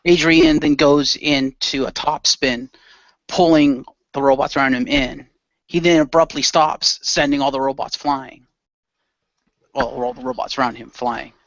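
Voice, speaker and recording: A man narrating an action radio play in a casual, halting, improvised style. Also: mid-range voice, steady tone, a bit bright, dry recording, highs cut off.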